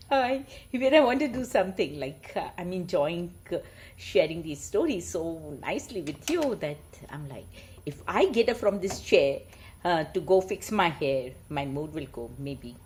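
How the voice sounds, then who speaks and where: A woman with an Indian accent, sharing moral stories in an informal, animated way. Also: lowish voice, unhurried, slight background noise. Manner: chuckling, animated, informal asides. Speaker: storyteller and host. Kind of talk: casual chat mixed with read-aloud moral tales.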